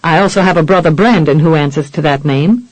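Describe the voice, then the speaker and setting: A devoted gentleman husband speaks softly in formal, old-fashioned phrasing, as a woman narrator voices him. His lowered voice stays calm and gentle. The recording is dry and close. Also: a little fast, no echo, low-quality recording. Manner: soft-spoken, formal old-fashioned speech. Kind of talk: audiobook character voice.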